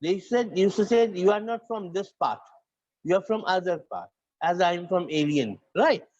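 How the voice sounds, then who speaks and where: A man's voice: high voice, animated, moderate pace, darkish tone, dry recording, highs cut off. A man with a north Indian accent lecturing a class, switching between English and Hindi and often restarting his phrases. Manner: repeats and restarts phrases, dictates points to students. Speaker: teacher.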